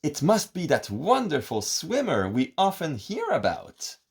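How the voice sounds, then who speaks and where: A man voicing Goody, a small, curious and cheerful character in a children's story, with a bright, excitable delivery. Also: a little high, a little slow, breathy edge, voice pushed up, exaggerated voice. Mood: admiring.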